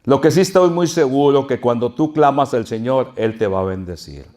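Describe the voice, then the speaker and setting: Older Mexican pastor telling a story. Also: male voice, mid-range voice, moderate pace. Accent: Mexican Spanish, northern. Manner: folksy, animated storytelling with chuckles. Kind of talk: sermon with personal storytelling.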